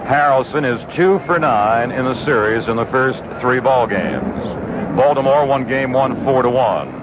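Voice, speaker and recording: A man with an American accent calls a baseball game in short, measured phrases. His voice sits at a medium pitch, heard through a dull old radio broadcast with very loud stadium noise behind him. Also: expressive. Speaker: baseball play-by-play announcer. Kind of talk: live radio sports play-by-play. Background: loud stadium ambience.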